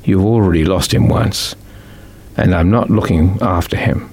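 A man's voice: low-pitched, normal speed, a little mellow, husky. A man voicing a practical, slightly weary mother in a children's story. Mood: firm, weary. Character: practical, firm, weary mother of young boys.

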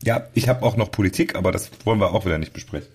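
Male podcast host, rapid and lowish, rambling casual talk with frequent broken-off sentences. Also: a little mellow, slightly rough. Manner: talkative, rambling, breaks off sentences. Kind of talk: casual chat.

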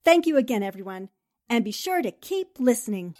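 High-voiced woman making radio announcement. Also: big pitch swings, fairly quick, a little mellow, soft background music. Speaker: radio show cast member. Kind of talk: scripted thank-you announcement.

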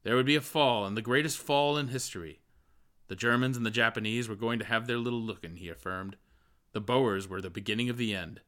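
A man's voice: fairly low pitch, a bit bright. A man reading literary prose aloud as a scripted audiobook narration. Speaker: audiobook reader.

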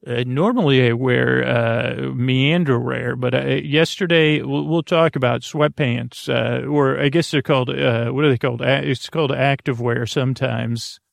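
A man hosting a bedtime podcast in a creaky, soothing voice, meandering haltingly through tangents and interrupting himself to lull listeners to sleep. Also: mid-range voice, steady tone, no room sound, studio quality. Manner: soothing, lulling. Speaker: podcast host. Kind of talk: rambling bedtime monologue to help listeners sleep.

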